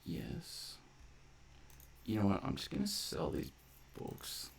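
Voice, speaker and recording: A man's voice: deep voice, lively intonation, slow, creaky, slight background noise. A man giving casual gameplay commentary, thinking aloud in a laid-back, colloquial mutter. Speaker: gameplay video host.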